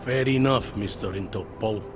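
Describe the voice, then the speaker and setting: An actor playing a weary, self-deprecating older cop near retirement in a video game, talking in a wry, conversational way. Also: male voice, fairly low pitch, normal speed, noisy background, natural voice. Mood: cynical. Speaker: police officer. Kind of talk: video game cutscene dialogue. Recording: background music under dialogue.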